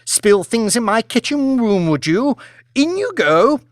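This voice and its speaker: Male reader as aristocratic woman, shrill, petulant, imperious, melodramatic, dramatic pitch swings, audiobook character. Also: sing-song, a little slow, no room sound, studio quality, full character voice. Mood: furious. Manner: petulant, sobbing self-pity turning to furious outrage. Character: imperious, shrill, histrionic aristocratic lady throwing tantrums.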